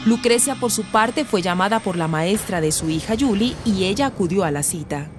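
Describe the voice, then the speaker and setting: A woman's voice: medium pitch, lively intonation, moderate pace. A woman giving scripted narration for a radio drama. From Colombia. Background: music.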